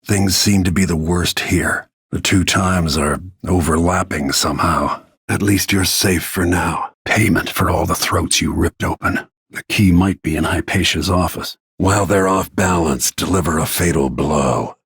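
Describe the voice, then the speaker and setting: A middle-aged assassin from a video game, grim and bent on revenge. The voice sits deep, with heavy gravel and a very raspy husk, recorded dry. Lines come out terse and brooding, always under control. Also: male voice, measured pace, fairly bright tone, dry recording, heavily acted. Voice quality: gravelly, husky. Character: grim, vengeful middle-aged male assassin bodyguard and protective father.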